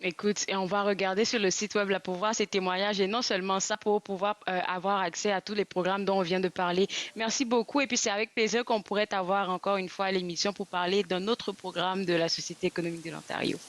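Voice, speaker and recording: A woman's voice: mid-range voice, fairly even intonation, fast, hardly any pauses, dry recording. Woman hosting a TV show. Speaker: TV talk show host. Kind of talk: TV interview hosting.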